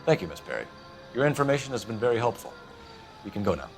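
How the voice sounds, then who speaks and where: An actor playing a formal, clipped 1940s police detective questioning witnesses in a game cutscene. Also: male voice, lowish voice, sing-song, fast talker, faint music behind. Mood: tense. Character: stiff, earnest male 1940s police detective. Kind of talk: video game cutscene acting.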